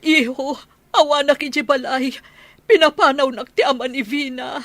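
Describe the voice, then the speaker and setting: A woman's voice: high-pitched, fairly even intonation, normal speed, fairly bright tone, no echo. A woman playing an elderly village mother, affectionate but aggrieved, in an Ilocano radio drama, with an emphatic motherly manner. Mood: pleading, relieved.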